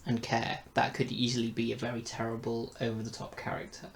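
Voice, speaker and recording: A man's voice: mid-range voice, monotone, a little fast, gravelly. A man hosting a casual review discussion, talking conversationally. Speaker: podcast review host.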